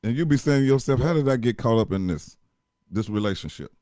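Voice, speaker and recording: A man with a deep voice gives blunt, profane relationship advice in casual chat. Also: lively intonation, fast, mellow, dry recording, clean studio sound.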